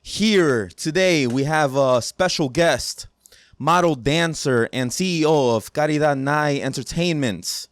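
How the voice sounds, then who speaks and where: A man's voice: a little high, unhurried, no room sound, clean studio sound. A man hosting a casual podcast interview, joking loosely and often repeating himself as he talks. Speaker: podcast host.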